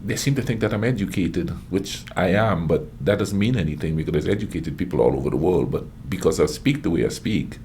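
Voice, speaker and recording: Casual Caribbean-accented host, very low voice, rambling conversational delivery, faint background hiss. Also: male voice, fairly quick, hardly any pauses, darkish tone. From Grenada. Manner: rambling, chatty, many fillers and restarts. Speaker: reaction video host. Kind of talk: casual chat reacting to clips.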